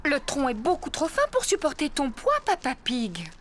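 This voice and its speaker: Cartoon mum pig: confident, practical, high and animated, loud music behind. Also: female voice, moderate pace, a little hiss or hum. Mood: assured. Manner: assured, matter-of-fact. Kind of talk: cartoon dub acting.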